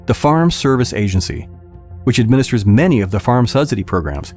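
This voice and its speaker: A man's voice: medium pitch, animated, a little fast, a little mellow, faint music behind. A man reading a formal policy document aloud as a scripted podcast narration.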